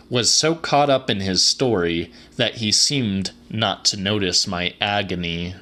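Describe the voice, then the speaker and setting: A man narrating a literary audiobook in a measured, deliberate, dramatic style. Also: on the low side, sharp tone.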